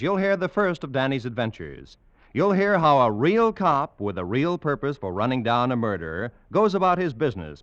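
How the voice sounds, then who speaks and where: Animated male old-time radio announcer. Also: mid-range voice, no room sound, lo-fi sound. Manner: formal, emphatic, polished. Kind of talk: 1940s radio announcing, scripted. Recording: band-limited old radio recording. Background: music.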